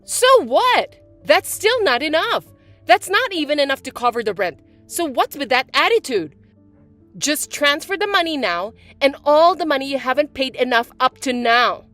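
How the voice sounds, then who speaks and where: A woman voicing a bratty, spiteful young stepsister who talks in a shrill, mocking, bossy way. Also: very animated, normal speed, a bit bright, soft background music. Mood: angry. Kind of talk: voiced text-message drama.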